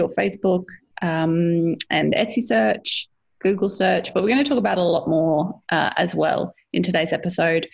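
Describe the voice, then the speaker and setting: A woman's voice: lowish voice, vocal fry, slightly rough, dull audio. A woman hosting a podcast in casual, chatty conversation, laughing often. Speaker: podcast host.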